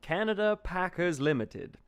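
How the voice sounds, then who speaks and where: An actor playing a formal, presentational host and announcer of an old-time radio station. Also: male voice, sits high, sing-song, unhurried, clear, resonant tone, heavily acted. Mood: formal, genial. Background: music.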